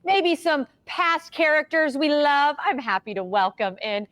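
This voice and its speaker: Bubbly higher-voiced woman TV host. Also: expressive, fairly bright tone. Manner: bubbly, quick, casual asides. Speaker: morning show host. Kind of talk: upbeat TV interview. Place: TV studio.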